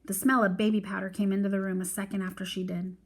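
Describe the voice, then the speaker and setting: A woman with a mid-pitched voice narrates a story as a read-aloud. Her reading is expressive and follows the text closely. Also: fast. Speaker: reader of a weekly read-aloud.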